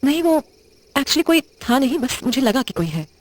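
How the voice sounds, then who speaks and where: A woman playing a confused, uneasy young widow who talks haltingly in an audio horror drama. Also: high voice, sing-song. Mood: flustered. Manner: hesitant, halting, muttering questions to herself.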